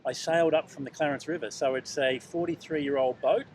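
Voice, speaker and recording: A man with a fairly high voice interviews people casually out on a beach. He is chatty and likes to joke. Some outdoor noise sits behind him. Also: steady tone, fairly quick. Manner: chatty, joking. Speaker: street interviewer. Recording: noisy outdoor ambience.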